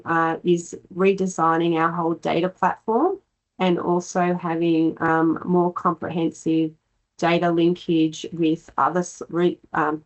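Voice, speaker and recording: A woman with a cold giving a measured webinar presentation, pausing often on fillers. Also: fairly low pitch, rough recording. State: has a cold.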